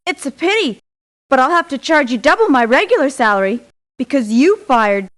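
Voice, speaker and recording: High-voiced, curt female mercenary. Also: very animated, normal speed, a bit bright. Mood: businesslike, curt. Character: proud, aggrieved female mercenary for hire. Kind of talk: scripted video game voice lines. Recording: lo-fi muffled game clips in places.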